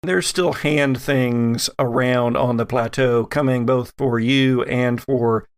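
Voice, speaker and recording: A man's voice: mid-range voice, fairly even intonation, takes it slowly, smooth. A man acting as game master, narrating a tabletop role-playing game dramatically with lingering, descriptive phrasing.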